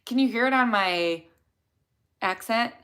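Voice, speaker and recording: Bright-voiced chatty woman with a Chicago accent. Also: fairly high pitch, measured pace. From Chicago area. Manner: chatty, rambling, answers questions from chat. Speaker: dental hygienist, host. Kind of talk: livestream Q&A, answering chat.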